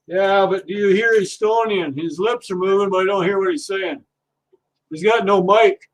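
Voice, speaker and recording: High-voiced man chatting on a livestream. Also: moderate pace, a little hiss or hum, rough recording. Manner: easygoing, chatty, talks to viewers while working. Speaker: livestream host. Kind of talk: casual livestream chat while scrapping.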